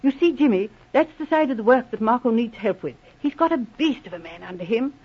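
Husky female voice, genteel gushing colonel's wife, affectionate, 1940s radio acting, muffled sound. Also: a little high, expressive, brisk. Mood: affectionate, persuading. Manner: effusive, genteel. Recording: muffled old radio broadcast recording.

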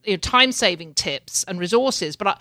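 A woman's voice: medium pitch, expressive, a little fast, bright, forceful tone, dry recording. A woman hosting a casual interview, talking in a chatty way with frequent repeated words and light laughs. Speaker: podcast host. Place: home in Canada.

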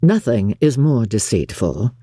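A woman voicing a proud, reserved, coolly exacting gentleman in an audiobook, formal and measured. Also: extremely low pitch, sing-song, breathy edge, no room sound, clean studio sound, voice pushed down, full character voice. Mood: stern, critical. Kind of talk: audiobook character acting.

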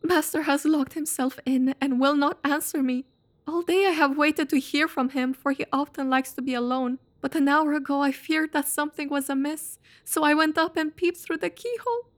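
A very high-voiced, fretful old housekeeper played by a woman in a classic mystery audio drama. She speaks in a plaintive, agitated way, loyal and badly frightened. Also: moderate pace, close to an everyday voice. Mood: anxious pleading.

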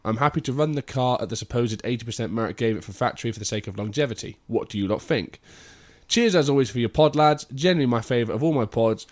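A man's voice: medium pitch, quick pace. A man hosting a podcast, reading out a listener's email and trading jokey, sweary banter with his co-hosts. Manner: jokey, swears freely, laughs often. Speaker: podcast host.

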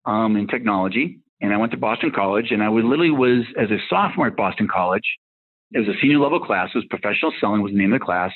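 Massachusetts guy, 50s, tech exec rambling thru his career, husky voice, sounds like a phone line. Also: on the low side, animated, rapid-fire. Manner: rambling, acts out dialogue in anecdotes. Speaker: tech executive guest. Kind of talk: casual career storytelling.

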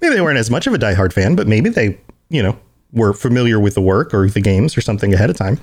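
An American man co-hosting a podcast, chatting casually and reading event listings aloud, with animated jumps to high excited exclamations. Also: fast. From United States. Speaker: podcast co-host.

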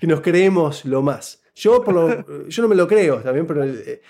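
A man with an Argentine Spanish accent and a fairly high, mellow voice. He speaks as an interview guest, telling a story in a very lively, swooping way. He explains things and keeps checking that the listener is following. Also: normal speed, gravelly. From Buenos Aires, Argentina. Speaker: interview guest giving job-interview advice. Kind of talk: casual conversational storytelling.

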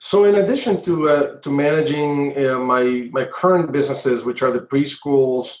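Halting middle-aged man in an echoey room. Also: medium pitch, a little mellow. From Colombia. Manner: halting, measured, frequent hesitations. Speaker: interview guest, entrepreneur. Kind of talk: conversational interview answers. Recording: echoey, roomy sound.

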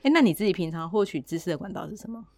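A woman's voice: lowish voice, very fast, darkish tone. A woman podcast host with a Taiwanese Mandarin accent, chatting casually with playful, teasing banter. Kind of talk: casual chat.

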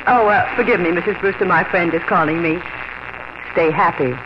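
A woman's voice: on the low side, a little fast, close to an everyday voice. An actress playing a breezy, sociable East Coast woman, gushing and wryly teasing, in a 1950 radio drama. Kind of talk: 1950s radio drama acting. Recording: noisy, muffled old radio broadcast.

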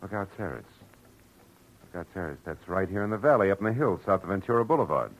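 An actor playing a hard-boiled, wry private detective, clipped and dry, in 1940s radio drama style on a dull old recording. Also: male voice, low voice, expressive, fast. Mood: businesslike. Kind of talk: 1940s radio acting with noir narration.

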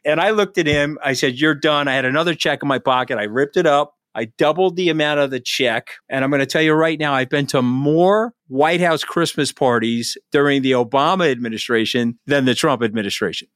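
A man's voice: fairly high pitch, fairly quick, hardly any pauses, a bit bright, no echo, studio quality. A man with an American accent, talking casually in anecdotes and podcast promotion, with a punchy, brash way of telling it.

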